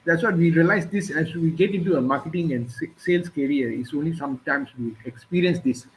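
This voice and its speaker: A man hosting a relaxed chat. His voice sits a little high for a man, and he speaks hesitantly, searching for words. There is faint noise behind him. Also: a little fast, poor audio. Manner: hesitant, many "uh" fillers and restarts. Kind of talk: casual conversation.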